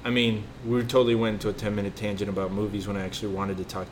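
A man's voice: fairly low pitch, little pitch movement, very fast. A man hosting a podcast, rambling and swearing in casual chat, often losing his train of thought. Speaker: podcast host. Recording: faint background noise.

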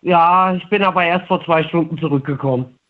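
Phone-in caller, male, twenties, notably high voice, conversational, thin telephone sound. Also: a little fast. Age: 20s. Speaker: radio show caller. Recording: narrow, tinny phone line.

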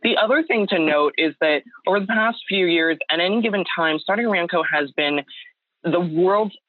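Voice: mid-range voice, normal speed, no room sound. Podcast host on a tinny line. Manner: explanatory, addressing listeners with facts. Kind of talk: podcast interview. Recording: tinny phone-like dry line.

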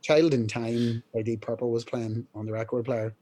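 Young male rock singer, mellow husky speaking voice, casual, rambling. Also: mid-range voice, little pitch movement, normal speed. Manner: rambling, many fillers and restarts, clears throat often. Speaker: band singer, interview guest. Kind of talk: casual storytelling interview.

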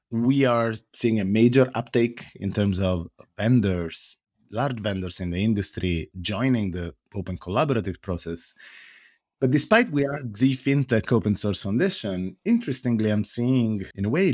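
A man with an Italian accent and a very low, deep voice talks through his work as a podcast guest. His delivery is earnest and explanatory, with frequent pauses and hesitant fillers. The sound is close and dry, with almost no room around it. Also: darkish tone, no room sound. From Italy. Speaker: guest, open source foundation director. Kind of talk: podcast interview answers.

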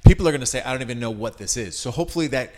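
A man's voice: mid-range voice, animated, very fast, a little mellow. A middle-aged American man hosts a casual interview in a mid-range voice. He is chatty and informal, setting up his questions with personal asides and compliments to his guest. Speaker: interviewer, host.

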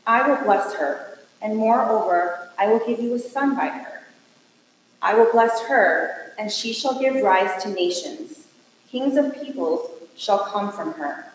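A woman's voice: medium pitch, lively intonation, a little slow. Woman reading scripture in very echoey hall. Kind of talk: scripture reading aloud in a service. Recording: very echoey hall, dull, faint noise.

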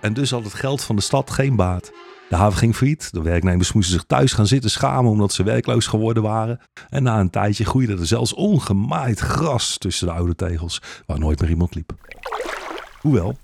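Deep-voiced male storyteller, ironic and theatrical. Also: animated, normal speed, creaky, darkish tone. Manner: theatrical, ironic, mock-naive as if telling children. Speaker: narrator of a radio play. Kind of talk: satirical fairy-tale storytelling, scripted narration. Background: music.

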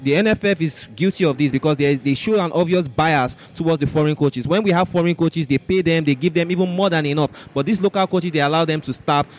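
Insistent man, slightly high-pitched. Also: quick pace, hardly any pauses. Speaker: sports podcast panelist. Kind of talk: opinionated sports debate.

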